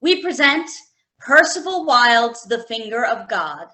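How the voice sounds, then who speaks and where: Female announcer for radio plays, animated scripted narration, crisp forward tone, slightly high voice. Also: unhurried.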